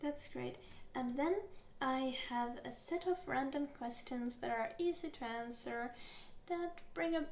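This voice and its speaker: Soft-spoken high-voiced Finnish woman, slow. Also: creaky, reverberant, slight background noise. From Finland. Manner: gentle, unhurried, with soft giggles and filler words. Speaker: ASMR roleplay interviewer. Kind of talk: soft-spoken ASMR roleplay.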